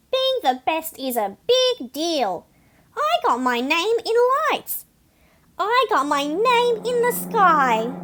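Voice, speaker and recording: Someone doing a brash, very high-pitched boastful cartoon seagull. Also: pushed and bright, a little hiss or hum, exaggerated voice. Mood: cocky. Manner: brash, wisecracking, theatrical. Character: boastful, melodramatic cartoon seagull, streetwise snack thief. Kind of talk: animated picture-book read-aloud for children.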